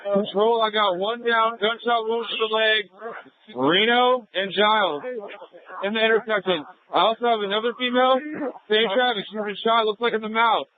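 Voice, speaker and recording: A man's high voice comes through a tinny, dry police radio channel. He is a police officer passing urgent traffic from the field. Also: steady tone, normal speed, no room sound. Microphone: two-way radio. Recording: tinny narrow-band police radio transmission.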